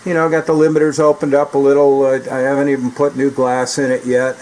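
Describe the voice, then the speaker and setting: A man's voice: mid-range voice, flat delivery, quick pace, a little mellow, poor audio. An American man giving a casual, rambling, folksy demonstration and chatting as a radio hobbyist. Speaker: radio repair hobbyist and CB operator. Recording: clear background noise.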